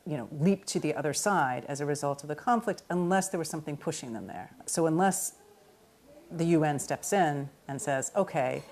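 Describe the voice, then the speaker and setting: A woman's voice: deep voice, moderate pace, darkish tone. A woman giving considered, hesitant expert commentary in an interview.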